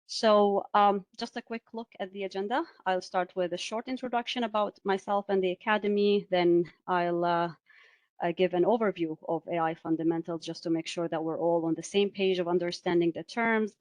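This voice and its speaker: A woman from Syria in her forties gives a webinar talk over a video call. Her voice sits a little low, and the sound is dry and close, with no room echo. She speaks in a measured way, with frequent "uh" and "um" fillers. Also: steady tone. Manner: measured, many "uh" and "um" fillers, asks for next slide. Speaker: webinar presenter. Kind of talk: presenting a webinar.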